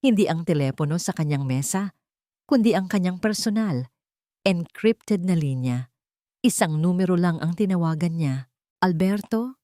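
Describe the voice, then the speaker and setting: A woman narrating a scripted audio drama in a measured, dramatic storytelling manner. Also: lowish voice, lively intonation, warm tone, no room sound, studio quality.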